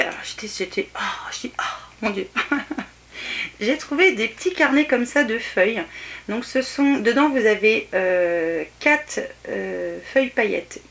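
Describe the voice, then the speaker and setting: A woman's voice: fairly high pitch, gravelly, a bit bright, slight background noise. Chatty woman showing off her haul. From France. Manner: chatty, hesitant with many fillers, enthusiastic. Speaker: haul vlogger. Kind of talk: casual show-and-tell haul. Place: small indoor bedroom set.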